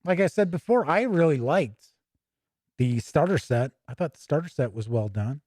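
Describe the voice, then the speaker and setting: A man with a slightly high voice hosts a casual hobby livestream. He talks informally, thinking aloud as he browses and chats to viewers. The sound is dry, with very little room in it. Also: expressive, moderate pace, darkish tone, dry recording. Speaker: host of a tabletop gaming news and review show. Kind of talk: casual livestream walk-through of a game book, chatting with viewers.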